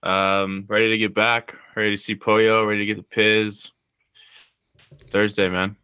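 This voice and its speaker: A young man chatting on a podcast, relaxed and laid-back with short answers. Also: fairly low pitch, a little slow, clean tone, no echo. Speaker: podcast co-host, student. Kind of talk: casual chat.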